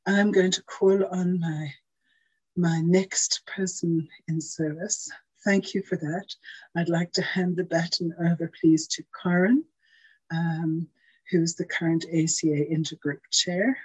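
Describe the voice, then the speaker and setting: A woman's voice: on the low side, a little mellow, slightly rough, low-quality recording. A woman giving an earnest, prepared service talk over a video call. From South Africa. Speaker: outgoing intergroup chair giving a service talk.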